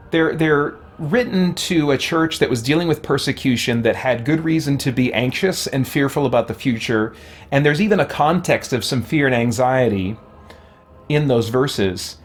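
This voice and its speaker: A man with a tired, worn voice, talking casually on a livestream in an earnest, reflective way and often correcting himself as he thinks aloud. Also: fairly high pitch. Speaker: host, video game content creator. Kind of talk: casual livestream talk, answering viewer questions.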